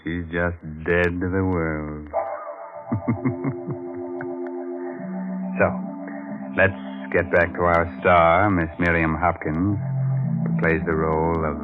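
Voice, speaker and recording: An actor playing a ghoulish, wisecracking horror show host on 1940s radio, with a sardonic, macabre manner. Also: male voice, sits low, fairly even intonation, slow pace, pauses often, music in the background. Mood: sly, macabre. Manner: sardonic, macabre, chuckling, drawn out. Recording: tinny band-limited 1940s radio recording.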